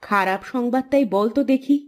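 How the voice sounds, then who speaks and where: A woman's voice: fairly high pitch, normal speed, close to an everyday voice. A woman voicing an anxious cartoon mother longing for her daughters, urgent and emotional. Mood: distraught. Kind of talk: Bengali cartoon voice acting. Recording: guitar music under the voice.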